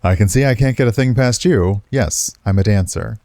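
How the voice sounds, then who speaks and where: A man's voice: fairly low pitch, normal speed, mellow, no echo, close to an everyday voice. Man playing an amused, evasive male dancer.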